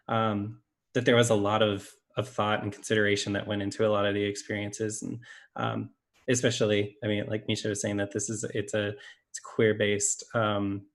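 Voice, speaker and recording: A man in a casual panel discussion, reflecting earnestly in a halting, measured way. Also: lowish voice. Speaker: panel participant.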